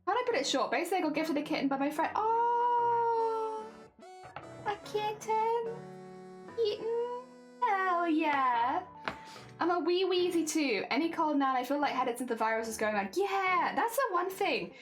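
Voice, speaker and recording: A woman's voice: very high voice, lively intonation, a little slow, soft background music. A young woman with a cold and asthma, wheezing as she chats with her livestream viewers while embroidering. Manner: chatty, greets and answers chat viewers, laughs often.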